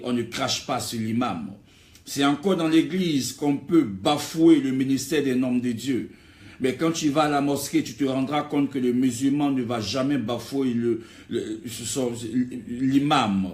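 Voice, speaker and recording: Echoey room, man, medium pitch, exhortatory sermon, emphatic delivery, repetitive rhetorical phrasing. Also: measured pace, a little mellow. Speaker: preacher.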